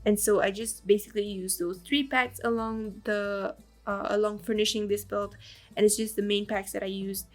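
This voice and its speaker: A woman with a raspy voice, hoarse from the flu, narrating a game build in casual, rambling voice-over. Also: mid-range voice, little pitch movement, normal speed, faint music behind. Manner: rambling, lots of fillers and small laughs.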